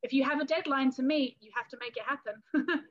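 Woman, thirties, English accent from the London area, high voice, chatty, laughs a lot, slightly muffled audio. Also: a little fast. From England, London area. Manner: chatty, laughs often, quotes herself in little scenes. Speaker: cake artist and business owner, guest. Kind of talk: casual interview talk.

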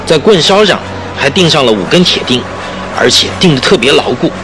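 An actor playing a cunning, smug murderer in a radio drama, explaining himself with self-satisfied emphasis. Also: male voice, on the high side, animated, fairly quick, music in the background. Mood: smug, scheming. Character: cunning, smug male murderer boasting of his scheme. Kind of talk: Mandarin radio drama acting.

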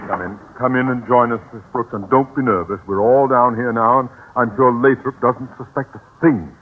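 A man's voice: medium pitch, steady tone, moderate pace, lightly acted. An actor playing a pompous, blustering, jittery male school principal with a conspiratorial manner in a 1950s radio sitcom. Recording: dull, hissy old radio broadcast.

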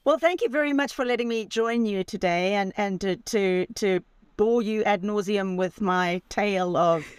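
A woman's voice: medium pitch, moderate pace, clean tone, dry recording, clean studio sound. A woman in her sixties from Zimbabwe, telling her story as a podcast guest in a chatty, self-deprecating way over a remote call from her campervan. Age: 60s. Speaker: guest, author and van traveller. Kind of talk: casual storytelling interview.

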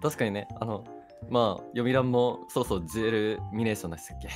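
A man's voice: fairly low pitch, fast. A young man co-hosting a radio show with casual banter and short, easygoing replies. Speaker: radio co-host. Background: background music.

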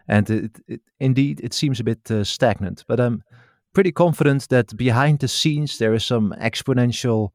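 A man with a Dutch accent, a native Dutch speaker, hosting a casual chat in a thoughtful, hesitant way with many "uh" pauses. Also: medium pitch, a little mellow, no room sound, clean studio sound. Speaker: podcast host. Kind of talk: casual conversation.

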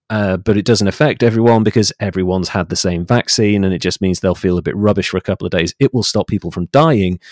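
Low-voiced man, reflective podcast guest. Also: darkish tone, dry recording, clean studio sound. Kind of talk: conversational interview answers, giving advice.

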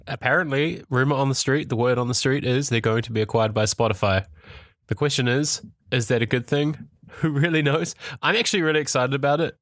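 A man's voice: mid-range voice, dry recording, clean studio sound. A man hosting a casual tech podcast, stuffed up from a cold, chatty and laughing often. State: congested with a cold. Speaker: podcast host. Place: Europe.

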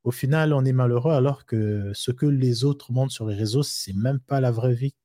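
A man's voice: mid-range voice, moderate pace, dark tone, no room sound, studio quality. A man co-hosting a live discussion, talking loosely with many restarts and everyday examples. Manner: rambling, frequent fillers and restarts, explains with everyday examples. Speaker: co-host of a live discussion show.

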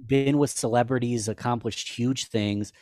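A man's voice: fairly even intonation, moderate pace, warm tone, no echo, clean studio sound. A man guest on a podcast, talking candidly and self-deprecatingly in casual chat, rambling with restarts. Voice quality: higher-pitched for a man.

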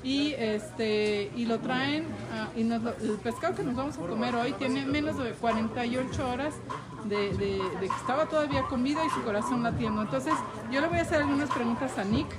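A woman's voice: fairly high pitch, brisk, a loud crowd, heavy background noise. A Mexican woman hosting at an outdoor event, chatting casually with many fillers and translating for viewers. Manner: chatty, many fillers, switches to English to ask questions. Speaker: host.

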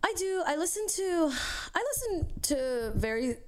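A woman's voice: extremely high pitch, big pitch swings, a little slow. A woman from Houston with an American accent, chatting casually in an informal, slangy way and swearing freely. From Houston, United States. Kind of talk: casual chat.

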